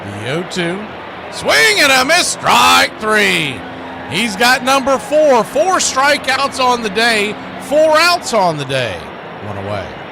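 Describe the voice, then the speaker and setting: A man's voice: extremely high pitch, wildly swooping pitch, slow, creaky, noisy background. A man calling a live baseball game as a radio play-by-play announcer. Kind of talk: live sports commentary.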